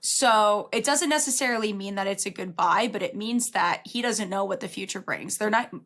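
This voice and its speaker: A woman's voice: medium pitch, moderate pace, a bit bright. A woman co-hosting a podcast in casual album chat, with an informal, emphatic way of talking. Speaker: podcast co-host.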